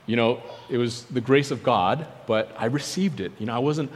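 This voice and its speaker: A man's voice: mid-range voice, moderate pace, a little hiss or hum. A man preaching through personal testimony, telling his story earnestly and acting out remembered conversations. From born in South Korea. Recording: long hall reverb.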